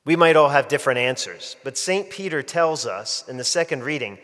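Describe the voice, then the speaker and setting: A man's voice: mid-range voice, animated, a little fast, a bit bright. A middle-aged man preaching a conversational sermon as a priest, chatting and joking with his congregation. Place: large church, walking the aisle before the altar. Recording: long church reverb.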